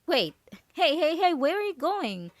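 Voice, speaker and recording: A woman's voice: sits high, sing-song, measured pace, no echo. A woman playing a possessive, overprotective roommate in an ASMR roleplay, stammering nervously as she pleads and coaxes. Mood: anxious pleading. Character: possessive, overprotective yandere roommate, clingy and manipulative.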